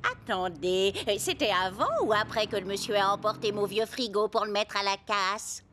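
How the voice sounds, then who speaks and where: An actress playing a puzzled everyday woman in a French animated cartoon. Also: medium pitch, moderate pace, background noise, lightly acted. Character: puzzled adult woman getting rid of old fridge.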